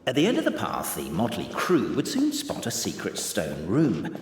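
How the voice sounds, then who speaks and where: An actor playing a theatrical, self-satisfied storyteller, narrating a mock-epic game tale pompously with hesitant asides. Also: male voice, fairly low pitch, huge pitch swings, normal speed, faint music behind. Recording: echoey hall reverb.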